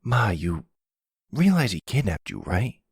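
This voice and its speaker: A man's voice: fairly low pitch, very animated, unhurried, slightly rough, dry recording, clean studio sound, pitched above the performer's own voice, full character voice. A man playing a sulky, defensive young crook, a grown son who protests and hesitates, in an ASMR roleplay drama. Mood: apologetic, earnest.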